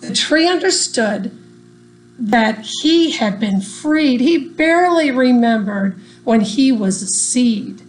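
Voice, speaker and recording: Lady reading a kids rhyming story, kinda high voice, real slow and expressive, bit of noise. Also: low-quality recording. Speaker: storybook reader. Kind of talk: read-aloud rhyming children's story.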